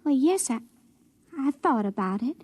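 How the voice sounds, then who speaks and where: An actress playing a wistful, hopeful young space station assistant in a 1950s radio drama, hesitant and earnest. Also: female voice, high-pitched, lively intonation, moderate pace, a little mellow, dry recording.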